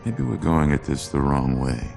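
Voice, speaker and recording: Very deep, gravelly brooding hero. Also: male voice, animated, normal speed, music in the background, heavily acted. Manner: terse, analytical, grave. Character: grim, brooding male superhero strategist. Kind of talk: video game cutscene acting.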